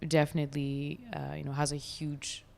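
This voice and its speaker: A woman's voice: deep voice, monotone, normal speed, vocal fry, a little mellow. Reflective young woman, reverberant room. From France and Dubai. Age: 20s. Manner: reflective, searching, many fillers. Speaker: guest, DJ. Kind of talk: casual podcast chat.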